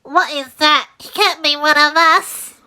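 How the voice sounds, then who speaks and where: Shrill bratty ducklings. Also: female voice, a little slow, bright, forceful tone, exaggerated voice. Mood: taunting. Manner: shrill and taunting. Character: bratty yellow ducklings, mean young kids. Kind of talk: storybook reading.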